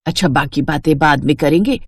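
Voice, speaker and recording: A woman's voice: sits low, a little fast, breathy edge, no room sound, clean studio sound, voice pushed down. A woman voicing a poor widowed mother, a humble and caring housemaid, in a cartoon story. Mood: caring, urging.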